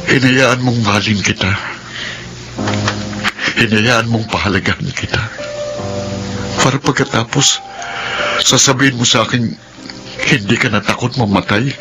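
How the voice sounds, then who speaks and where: A man's voice: medium pitch, fairly even intonation, a little slow, pauses often, over music, lightly acted, low-quality recording. A man playing an anguished, loving young husband in a radio drama, speaking emotionally and reproachfully.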